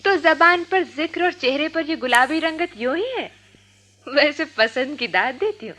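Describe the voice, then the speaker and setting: A bold and impulsive young college girl in a radio play. Her voice is very high, and she speaks in a brisk, teasing way, full of self-assurance. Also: expressive, sharp tone. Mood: playful teasing. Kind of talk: Hindi radio drama acting.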